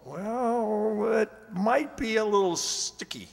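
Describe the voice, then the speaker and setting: An actor playing a timid, amiable night security guard in a radio drama, hesitant and folksy, stammering at times. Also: male voice, high voice, expressive, slow pace, vocal fry, big-room sound, full character voice. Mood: amiable, uneasy. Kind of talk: radio drama acting and narration.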